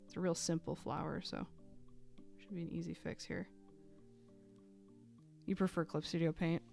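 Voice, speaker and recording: A woman chatting casually while she draws on a live stream, thinking aloud with fillers and little laughs, a bit hoarse as she loses her voice. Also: fairly low pitch, steady tone, moderate pace, a little mellow, soft background music. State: losing her voice.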